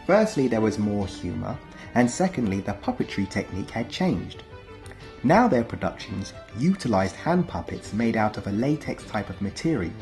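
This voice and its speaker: Lively male narrator over music. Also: fairly low pitch, moderate pace. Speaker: narrator of a countdown video. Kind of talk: scripted documentary narration.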